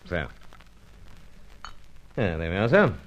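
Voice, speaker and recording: Casting: unflappable secret agent, low and lively, clipped, jaunty, radio serial delivery. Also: male voice, takes it slowly, highs cut off, heavily acted. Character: dashing, unflappable British secret agent, pipe lover. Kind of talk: old-time radio serial acting and narration.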